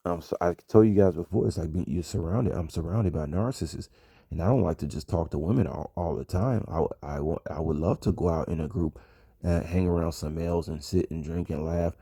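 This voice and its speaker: An American man talks casually to his followers in a deep voice with a gravelly fry. He rambles and circles back on his phrases for emphasis. The sound is dry and close. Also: a little fast, mellow, no echo. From United States. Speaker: vlogger. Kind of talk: casual personal monologue to an audience.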